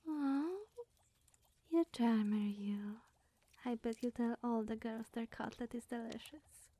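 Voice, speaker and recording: A woman voicing a sweet, bashful, scatterbrained young witch in a soft, partly whispered ASMR roleplay, coy and rambling with musing hums. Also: fairly high pitch. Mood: coy, confiding. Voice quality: soft, breathy.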